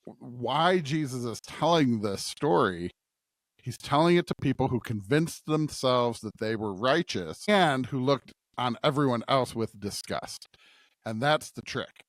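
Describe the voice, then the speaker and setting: A man's voice: mid-range voice, no echo. A man co-hosting a podcast, reflecting casually on scripture in an earnest way, repeating phrases for emphasis and checking in with his listener. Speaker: podcast co-host.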